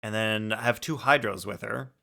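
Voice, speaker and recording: Bright-voiced man livestreaming a game. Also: on the low side, moderate pace, vocal fry. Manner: informal, thinking aloud. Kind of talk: casual livestream gaming chat. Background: music.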